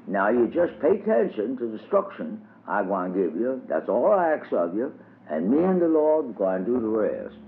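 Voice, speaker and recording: A man's voice: fairly low pitch, very animated, normal speed. A man voicing an earnest old male folk preacher who teaches children in a drawling, sermonizing dialect. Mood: earnest preaching. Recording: tinny lo-fi old recording with faint hiss.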